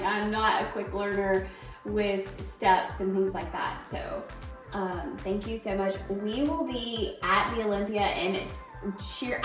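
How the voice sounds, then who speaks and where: A woman in her thirties chatting casually and effusively, like a vlogger. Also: medium pitch. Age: 30s. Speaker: vlogger, amateur bodybuilding competitor. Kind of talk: casual, gushing chat. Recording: echoey room, distant mic, faint music.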